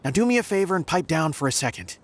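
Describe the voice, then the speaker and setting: A man's voice: a little high, very animated, fast, background noise. A man voicing an unsure, forgetful paper frog who was once a singer, talking in a hesitant, wry way.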